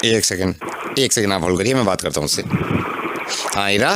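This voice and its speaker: A man's voice: low voice, animated, a little fast. A man on a phone call, speaking in a coaxing, insistent way. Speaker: caller. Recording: noisy phone call with clicks.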